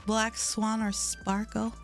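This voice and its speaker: A woman's voice: fairly high pitch, level tone, slow, soft background music. A woman game streamer with a slight lisp, chatting casually on a live stream while playing, mumbling and stumbling over her words.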